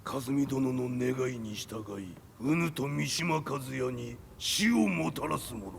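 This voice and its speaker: An actor playing a fierce demonic martial artist who speaks in archaic, menacing commands. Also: male voice, mid-range voice, normal speed, creaky, slight background noise.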